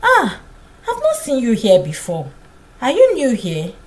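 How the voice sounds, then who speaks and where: A woman storyteller voicing a kind, gentle young market woman who coaxes and encourages in a folktale. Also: on the high side, wildly swooping pitch, unhurried. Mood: friendly, curious. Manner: warm greetings, coaxing encouragement.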